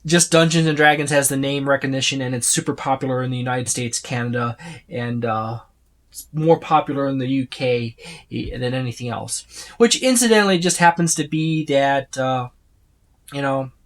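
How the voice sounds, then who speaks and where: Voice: mid-range voice, moderate pace. A video host giving a casual, unscripted overview in a rambling, explanatory way with informal asides. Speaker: host of tabletop gaming video channel.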